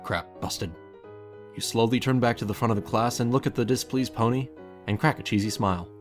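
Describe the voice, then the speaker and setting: A man's voice: medium pitch, brisk, soft background music. A man reading a scripted story aloud as narrator, addressing the listener as the main character. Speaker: fan fiction narrator.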